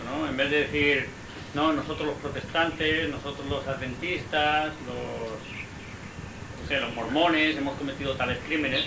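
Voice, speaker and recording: Man in a roomy, noisy recording. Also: mid-range voice. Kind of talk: casual group discussion. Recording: roomy, clearly noisy amateur meeting recording.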